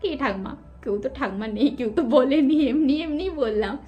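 High-pitched woman with rural Medinipur Bengali accent. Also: lively intonation, a little fast, breathy edge, highs cut off, soft background music. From West Bengal, India. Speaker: prank-call vlogger. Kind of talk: casual chat.

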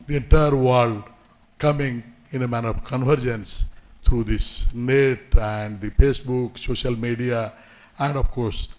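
A man gives a formal commencement address at a podium in a seminar hall, speaking in measured phrases with frequent pauses. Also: mid-range voice, dark tone. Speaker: guest speaker. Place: indoor university seminar hall. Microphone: podium microphone.

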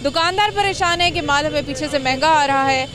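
A woman's voice: sits high, fairly quick. A young Pakistani woman working as a TV field reporter, interviewing people on the street into a handheld microphone. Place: crowded outdoor street market. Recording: busy outdoor market crowd noise.

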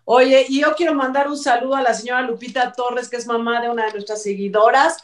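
A woman's voice: a little high, steady tone, brisk. A middle-aged woman with a Mexico City accent, hosting a casual panel chat in a chatty, rambling way. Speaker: show host. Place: home room, indoors. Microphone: video call, off the mouth. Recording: roomy, distant home video-call sound.

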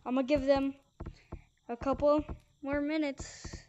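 A person hosting an in-game talent show in casual chat, with the voice run through a pitch-raising voice changer, sounding like a woman. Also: level tone, measured pace, darkish tone, muffled recording, slight background noise. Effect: high-pitched voice changer. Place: virtual reality game session. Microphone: VR headset mic.

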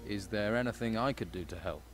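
A terse adventurer hero, played by a man in a video game, with a low voice and dry, clipped delivery. He keeps every line short and to the point. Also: fairly quick, lightly acted.

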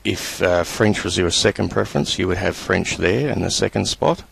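A man presenting a screen-recorded computer tutorial, talking in a relaxed, halting way with many fillers. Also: low-pitched, gravelly, slightly rough, dry recording, rough recording.

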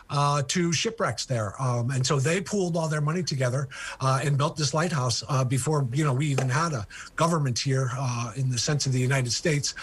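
Husky older man on a video call. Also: fairly high pitch. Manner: enthusiastic, many ums and uhs. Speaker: author and guest. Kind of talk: remote interview storytelling.

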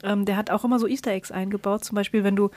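A woman's voice: medium pitch, fairly even intonation, very fast, a little mellow, no echo. A woman speaking casually as a podcast co-host, retelling things in a colloquial way. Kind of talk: casual chat.

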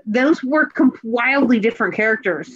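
A woman's voice: fairly high pitch, lively intonation, normal speed, husky. An earnest, appreciative woman talking casually in a panel discussion over a video call. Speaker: panel participant in a theatre talkback. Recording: slightly dull video-call audio.

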